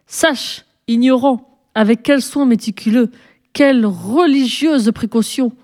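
An ancient, imperious pharaoh played by a woman reader holds forth from a storybook. The delivery is pompous and solemn, declaimed with majesty in a mellow tone. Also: mid-range voice, expressive, moderate pace, close to an everyday voice. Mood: stern, haughty. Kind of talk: storybook reading, character dialogue.

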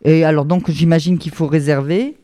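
A woman hosting a radio show, asking the guest brisk, practical questions and introducing the next segment. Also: low voice, fairly even intonation, warm tone, no echo, studio quality. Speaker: radio host.

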